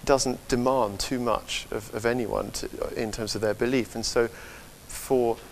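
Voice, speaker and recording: A man in his thirties speaks in an interview at a medium pitch. He phrases things haltingly and thoughtfully, and a faint hiss sits behind him on the lapel mic. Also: fairly even intonation, vocal fry. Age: 30s. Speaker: interviewee, website director. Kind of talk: interview answer. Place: large church interior.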